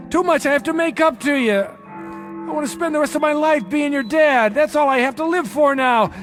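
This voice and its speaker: A man's voice: very high-pitched, a bit bright. An actor playing a desperate, remorseful middle-aged father and small-time hustler, urgent and emotional, in radio-drama style. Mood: desperate pleading. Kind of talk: dramatic radio-play acting.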